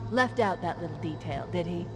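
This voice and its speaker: An actress playing a sharp-tongued female AI in a sci-fi video game, sardonic, protective and emphatic in delivery. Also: lowish voice, lively intonation, a little fast, lightly acted. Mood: grim, biting. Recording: long echoing reverb under music.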